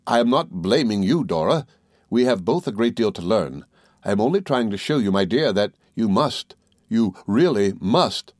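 A man voicing an earnest, loving young husband in an audiobook, reasoning gently and formally. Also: on the low side, animated, moderate pace, no room sound, studio quality. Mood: earnest, conciliatory. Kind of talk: audiobook character dialogue.

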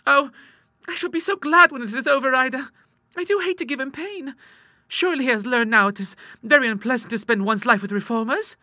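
Man doing an anxious, very high-voiced young lady. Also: fairly quick, bright, forceful tone, dry recording, studio quality, exaggerated voice. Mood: rueful. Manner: pleading, worried. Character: gentle, anxious young lady, reluctant soft-hearted daughter. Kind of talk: audiobook character acting.

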